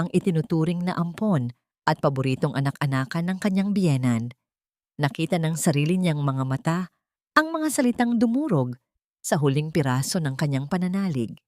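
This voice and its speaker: A woman's voice: low-pitched, dark tone, no echo, clean studio sound. A woman narrating a dramatic scripted audio story.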